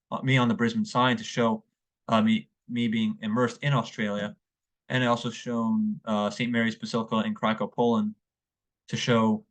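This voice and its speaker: A man's voice: fairly low pitch, low-quality recording. A young American man from the Northeast presenting a class essay, hesitant, with many fillers and restarts. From northeastern United States. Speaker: student.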